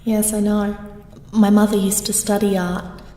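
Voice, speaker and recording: A woman's voice: mid-range voice, little pitch movement, measured pace, mellow, close to an everyday voice. A young woman acting in an audio drama as a dreamy, trusting idealist who loves art, speaking earnestly and wistfully. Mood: wistful, awed. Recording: distant, echoey hall, music behind.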